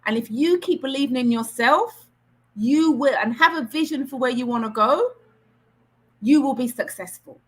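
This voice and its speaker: A woman's voice: high voice, normal speed. Trinidadian woman giving earnest career advice. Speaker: career coach presenter. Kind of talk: online Q&A session.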